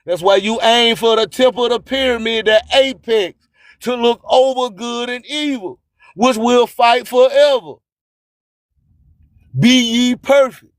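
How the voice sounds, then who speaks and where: A man's voice: very high-pitched, measured pace, no echo, studio quality. A man hosting a radio show, delivering a motivational spoken-word sermon in an emphatic, preachy manner, repeating phrases for effect. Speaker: radio host and motivational speaker.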